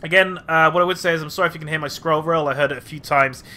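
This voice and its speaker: A man's voice: on the high side, fairly even intonation, very fast, bright, forceful tone. A man commentating a strategy game battle replay, slightly nasal from a cold, thinking aloud with frequent fillers.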